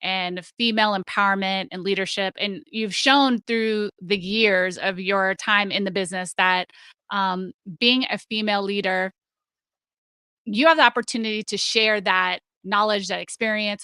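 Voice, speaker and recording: An upbeat woman hosts the interview in a bright, crisp voice, leaning on "you know" and "um". Her audio is dry and close, with no room sound. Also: mid-range voice, steady tone, moderate pace, no echo. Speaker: host. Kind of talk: hosting an interview.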